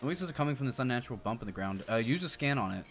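A man's voice: medium pitch, animated, very fast. A man in his thirties bantering casually and profanely as he co-hosts a video game stream. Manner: playful, profane, bursts into song snippets. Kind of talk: casual banter over gameplay. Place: indoor streaming setup. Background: game music.

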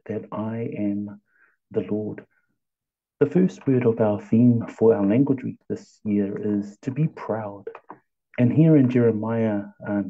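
Man, Samoan accent, pastor, measured sermon, husky, muffled recording. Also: fairly low pitch. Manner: measured, reads scripture slowly and deliberately. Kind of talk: bilingual devotional sermon.

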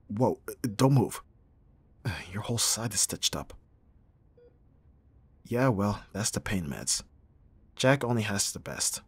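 Casting: male actor as abrasive yet tender bodyguard for audio roleplay, lowish and very husky, guarded and halting. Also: dry recording, exaggerated voice. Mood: relieved, tender. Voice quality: husky, breathy. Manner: halting, sighing, emotionally guarded. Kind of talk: ASMR roleplay acting.

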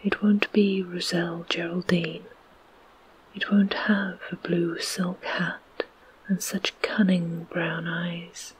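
A storyteller voicing a heartbroken little girl, in a soft, breathy whisper. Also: medium pitch, flat delivery, takes it slowly, low-quality recording. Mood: tearful.

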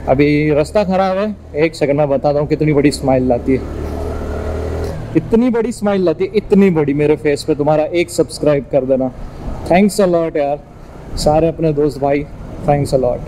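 A man's voice: on the high side, moderate pace, warm tone, rough recording. A young man with an Indian accent chats informally as a motovlogger, mixing in English while he rides a motorbike outdoors. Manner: informal, chatty. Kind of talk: casual vlog chat while riding, Hindi mixed with English. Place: outdoors, riding a motorbike on village roads. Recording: clear vehicle and road noise. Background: engine and road noise.

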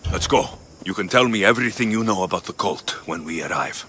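A gruff game mercenary, lowish voice with a bright edge, faint ambient noise. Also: male voice, lively intonation, a little fast. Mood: demanding. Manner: curt, demanding. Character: blunt male mercenary warrior. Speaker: game character. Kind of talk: video game dialogue.

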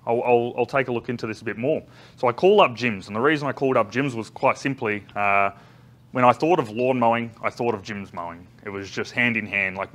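Australian male trainer, 30s, loud, rambling storyteller with lots of ums, speaking live in a conference room, caught on a far camera. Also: mid-range voice. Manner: loud, chatty storytelling with many ums and restarts. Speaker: business trainer. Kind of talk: live talk to a seated audience. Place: large conference room indoors. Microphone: far camera, no visible mic.

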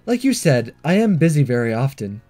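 A man voicing a shy, earnest, studious teenage schoolgirl with a soft, hesitant manner, in a visual novel. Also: mid-range voice, big pitch swings, fairly quick, faint music behind. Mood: downcast. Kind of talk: visual novel dialogue read-along.

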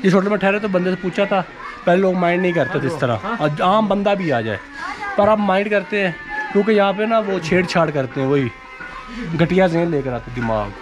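A man's voice: high-pitched, animated, fairly quick, music in the background. A man with a Pakistani accent casually narrating a travel vlog and chatting with locals. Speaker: travel vlogger. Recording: clear background noise, handheld outdoor vlog.

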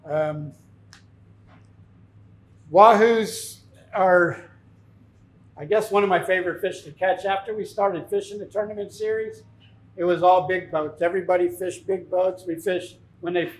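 A man in his fifties giving an informal, plain-spoken seminar talk as a fishing captain, telling anecdotes. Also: high voice. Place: tackle shop, indoors, speaking to an audience. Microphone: lapel mic. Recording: echoey shop room.

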